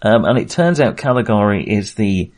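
A male podcast co-host with a lowish voice talks informally about cinema. His delivery is halting, as if he is working out each thought while he speaks. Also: animated. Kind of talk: casual film discussion.

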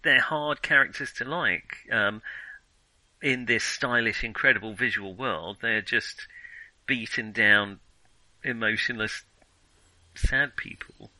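A man's voice: medium pitch, slow, pauses often, bright, forceful tone, no room sound, low-quality recording. A man co-hosting a casual film discussion, giving opinions hesitantly as he thinks them through. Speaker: film podcast co-host.

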